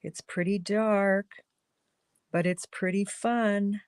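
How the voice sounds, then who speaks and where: Woman host of a live craft stream, slightly hoarse, slow and chatty, greets viewers as she works. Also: medium pitch, steady tone, darkish tone, dry recording, clean studio sound. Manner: chatty, thinks aloud while coloring, greets viewers by name. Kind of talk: casual live crafting demo talking to viewers.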